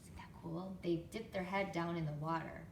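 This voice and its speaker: A woman reading a picture book to young children expressively, pausing to explain things and ask them questions. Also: lowish voice, fairly even intonation, gravelly. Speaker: storytime reader, teacher to young children. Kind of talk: picture book read-aloud with chatty asides. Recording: roomy, distant mic, faint background noise.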